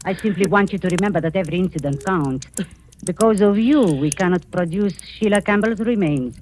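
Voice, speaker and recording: An actress playing a scheming, falsely sweet middle-aged aunt in old radio suspense style, with halting, menacing delivery. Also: female voice, lowish voice, a little mellow, close to an everyday voice. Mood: cold, commanding. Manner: cloying endearments, deliberate halting menace. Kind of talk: 1940s radio suspense acting. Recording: noisy old-time radio recording.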